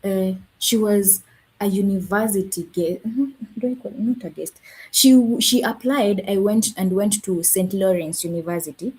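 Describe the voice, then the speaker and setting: A woman's voice: mid-range voice, normal speed, a little mellow, husky, low-quality recording. A woman from Kenya, a language educator, speaking as a guest in a live online conversation and searching for words with many fillers. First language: not Swahili. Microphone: video call.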